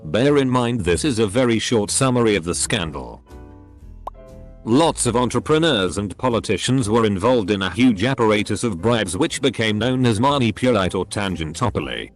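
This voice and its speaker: A man with an English accent reading online posts aloud one after another in a steady, measured narration. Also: lowish voice, faint music behind. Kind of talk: scripted narration.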